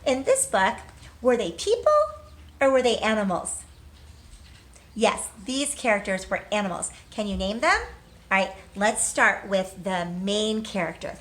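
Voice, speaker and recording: A woman's voice: fairly high pitch, fairly bright tone. A woman teacher leading a read-aloud lesson for young children in simple, encouraging language, asking questions and answering them herself.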